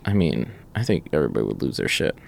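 A man's voice: a bass voice, big pitch swings, fast, gravelly. An American man co-hosting a podcast, talking casually in laid-back slang. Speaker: podcast co-host. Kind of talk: casual chat about sneakers.

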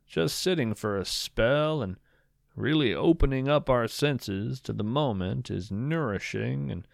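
A man playing a reflective, whimsical traveler, narrating audio fiction in an unhurried, lyrical and gently wry way. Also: medium pitch, animated, gravelly, no echo, clean studio sound. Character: reflective, whimsical male wanderer telling of sea travels. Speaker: podcast narrator. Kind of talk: scripted first-person audio fiction narration.

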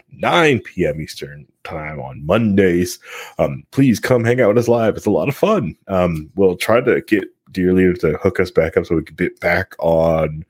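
Male podcast host, low-pitched and raspy, casual and rambling, recorded dry. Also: a little mellow, no echo. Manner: joking, rambling. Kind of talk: casual chat.

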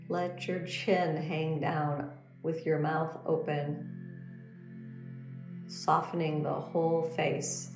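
A woman leading a guided meditation as a teacher, speaking in a gentle, soothing, unhurried instructional manner. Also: low voice, little pitch movement. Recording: roomy echo under background music. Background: meditation music.

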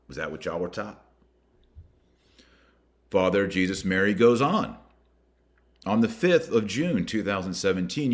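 An American man hosting a podcast, reading and commenting in an emphatic, deliberate way that stresses key words and names. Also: low voice.

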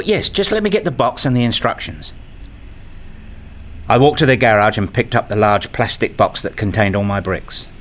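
A man narrating a first-person radio story in a measured, reflective way, also voicing the father's lines. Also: on the low side, fairly bright tone. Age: middle-aged. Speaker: narrator and lead actor playing a father.

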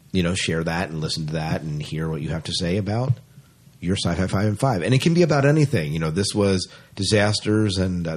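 A man's voice: deep voice, a little mellow, husky. A man hosting a casual sci-fi podcast, chatting in an enthusiastic way. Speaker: podcast host.

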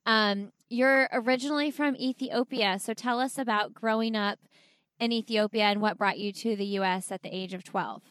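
A woman hosts a casual interview and asks eager, curious questions. Her voice sits a little high. The sound is dry and close, with no room echo. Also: fairly even intonation, normal speed, a bit bright. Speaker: podcast host.

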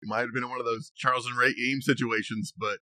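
A man's voice: mid-range voice, steady tone, fast talker, bright, forceful tone, dry recording. A man co-hosting a casual design discussion, telling researched history in a conversational, hedging way, as if thinking aloud. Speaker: podcast co-host.

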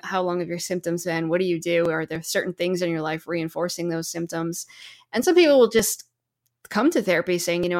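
A woman speaking as a therapist guest in an interview, explaining advice conversationally and illustrating it with example quotes. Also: lowish voice.

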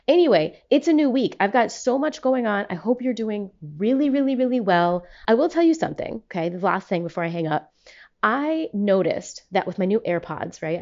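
A woman's voice: medium pitch, darkish tone, muffled recording. A young woman talking casually in a voicemail-style monologue, chatty and emphatic, with slangy, profane phrasing. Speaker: podcast host.

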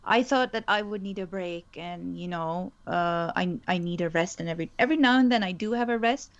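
A woman's voice: on the low side, fairly quick, lo-fi sound. A woman whose first language is Urdu, a guest in a casual interview, speaking thoughtfully with frequent restarts. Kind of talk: conversational interview.

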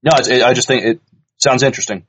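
A man co-hosting a podcast, casually reading news items aloud in a halting, stumbling way. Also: medium pitch, steady tone, a bit bright, husky. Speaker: podcast co-host.

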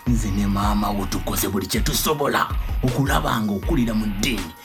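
A man's voice: on the low side, fast talker, close to an everyday voice. A man playing a stern, wounded pastor father in a radio play, indignantly reproaching his grown daughter. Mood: indignant, hurt. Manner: reproachful rhetorical questions, indignant. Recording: guitar music behind the voice. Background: guitar music.

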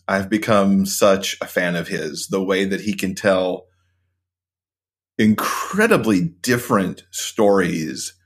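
A man as an interview guest, talking about books in an earnest, enthusiastic, casual way. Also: sits low. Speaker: podcast co-host, guest.

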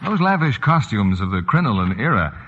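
Deep-toned, animated radio announcer man. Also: a little fast, husky. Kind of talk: 1940s radio sales pitch. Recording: muffled, reverberant vintage radio broadcast.

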